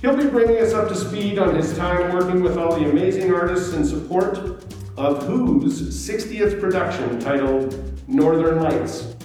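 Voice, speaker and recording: A man's voice: sits high, measured pace, darkish tone, faint music behind. A man hosting a radio show, reading out a scripted introduction. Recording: very echoey room, distant microphone.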